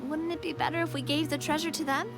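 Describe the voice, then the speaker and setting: A woman's voice: high-pitched, fairly even intonation, fast, music in the background. An actress voicing a cheerful young woman who explains the rules of the game in a video game.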